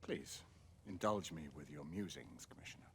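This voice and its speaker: An actor playing a commanding senior knight of Victorian London in a video game, formal and measured in authority. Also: male voice, extremely low pitch, slight background noise. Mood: dry, controlled. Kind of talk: video game cutscene acting.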